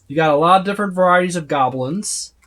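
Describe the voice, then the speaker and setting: A tabletop game reviewer giving a casual, unscripted overview, speaking haltingly with repeated words and trailing lists. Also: mid-range voice, a bit bright. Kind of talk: casual unscripted book flip-through overview.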